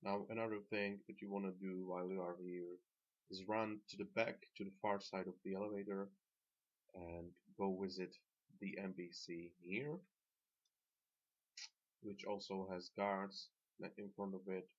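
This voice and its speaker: Non-native English-speaking male game guide narrator, deep and flat delivery, measured walkthrough commentary on a lo-fi recording. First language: not English. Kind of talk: instructional gameplay commentary. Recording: dull, lo-fi microphone sound.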